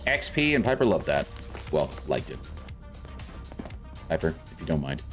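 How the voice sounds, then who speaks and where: A man's voice: very deep voice, sing-song, normal speed. A man from South Africa streaming a game, chatting casually and thinking aloud as he plays. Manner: chatty, rambling, thinks aloud while playing. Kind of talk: casual gameplay commentary. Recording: faint game music behind.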